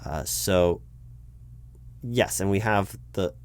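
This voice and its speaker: American guy with a lowish voice doing a flustered hr manager roleplay, stammers through bureaucratic explanations. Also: clean tone. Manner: halting, hesitant, explanatory, officious. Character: flustered bureaucratic male corporate recruiting manager addressing his disguised boss. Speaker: performer of a one-sided roleplay. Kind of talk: improvised conversational ASMR roleplay, spoken aloud.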